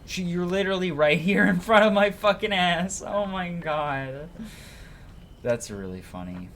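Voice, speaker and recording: A man's voice: sits high, expressive, unhurried, a bit bright. Casual guy streaming a game, noisy backdrop. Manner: sweary, informal. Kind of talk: casual let's-play commentary, reading game dialogue aloud.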